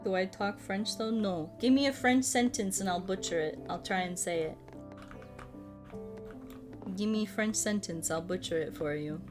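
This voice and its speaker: A woman in her thirties, a streamer chatting casually while she plays a puzzle game, playful and quick to poke fun at herself. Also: mid-range voice, over music. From United States. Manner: playful, self-mocking, laughs often, tries out broken Spanish and French.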